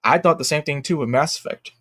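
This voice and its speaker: A man giving casual, opinionated livestream commentary in a blunt, emphatic way, haltingly repeating his phrases for emphasis. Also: on the high side, bright, forceful tone, slightly rough, no echo, clean studio sound. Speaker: streamer and commentator.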